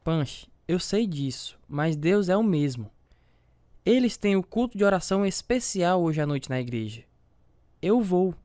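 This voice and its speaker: A man's voice: sits high, big pitch swings, a little slow, mellow, no room sound, studio quality. A man acting as a devout, self-doubting family man, narrating and talking in an earnest, confessional way with a Brazilian accent in a religious radio drama. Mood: earnest, troubled.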